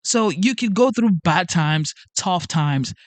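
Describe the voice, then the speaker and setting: A man's voice: sits high, expressive, takes it slowly, no room sound. A man hosting a motivational livestream, talking to camera with emphatic, repetitive urging. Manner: emphatic, repetitive, preachy pep talk. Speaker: channel host. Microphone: facing a camera.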